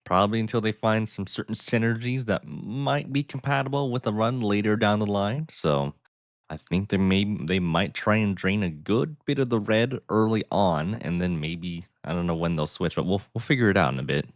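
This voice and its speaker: A man with a smooth, soothing voice, commenting calmly and analytically on a card game. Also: moderate pace, no echo, studio quality. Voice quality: deep, smooth voice. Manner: calm, thoughtful, analytical. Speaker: player and guest commentator.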